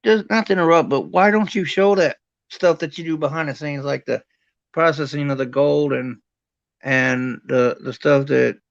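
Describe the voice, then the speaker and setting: Man, gravelly, dry close sound, lo-fi, short interjections. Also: on the high side, normal speed, no echo. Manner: brief interjections and follow-up questions.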